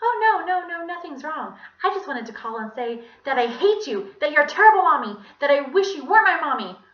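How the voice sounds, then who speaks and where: A woman's voice: high-pitched, very animated, fairly quick, a bit bright, echoey room, highs cut off, pitched above the performer's own voice. A woman voicing young schoolchildren in a story, earnest and emphatic with a whiny childlike manner. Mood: spiteful.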